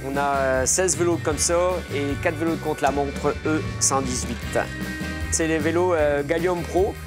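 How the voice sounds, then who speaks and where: A man in his sixties, a team manager giving a documentary interview, pausing often with fillers. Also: fairly high pitch. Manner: hesitant, many fillers. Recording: interview under a music bed.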